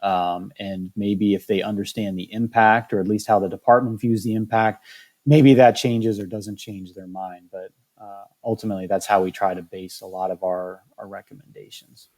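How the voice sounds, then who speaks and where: Measured, low-voiced biologist man. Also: fairly even intonation, a little mellow. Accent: Michigan. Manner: measured, careful wording with frequent restarts and "um"s. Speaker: state wildlife biologist, interview guest. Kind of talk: candid conversational interview.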